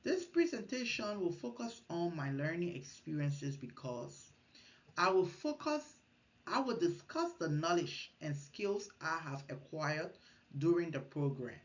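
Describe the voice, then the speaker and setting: A woman's voice: fairly low pitch, very animated, a little slow, lo-fi sound. Woman giving a class presentation. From Liberia. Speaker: student presenter. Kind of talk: recorded class presentation, partly read.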